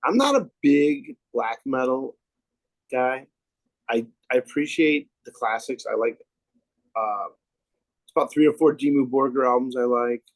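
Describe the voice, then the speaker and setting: Chill guy hosting a podcast, quick to laugh, dry close recording. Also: mid-range voice, expressive, dry recording, rough recording. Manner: laid-back, laughs easily, asks questions. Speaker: podcast host. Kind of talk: casual interview chat.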